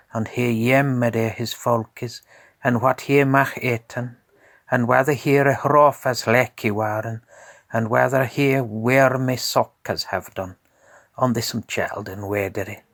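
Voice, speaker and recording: A man narrating a scripted tale as a storyteller in a measured, deliberate way. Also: medium pitch, dry recording.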